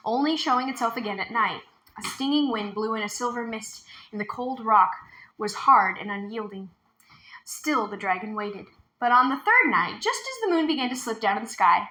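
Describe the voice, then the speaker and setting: A woman's voice: a little high, bright, forceful tone, reverberant, low-quality recording. A woman reading a storybook aloud expressively as a storytime narrator. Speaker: storytime reader.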